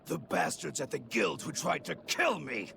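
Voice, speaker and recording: An actor playing a wounded, vengeful man in a video game, with a strained, creaky voice and bitter, labored delivery. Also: a little high, big pitch swings, moderate pace, background noise, exaggerated voice. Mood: weak, vengeful. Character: wounded, vengeful man betrayed by his guild. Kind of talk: English video game voice acting. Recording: game audio with loud music behind.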